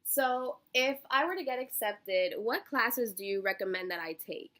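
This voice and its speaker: Bright, bubbly young American woman. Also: on the high side, expressive, normal speed. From Miami, Florida, United States. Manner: bubbly, effusive, giggly, chatty. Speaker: job interview candidate, college student. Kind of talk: casual phone interview answers.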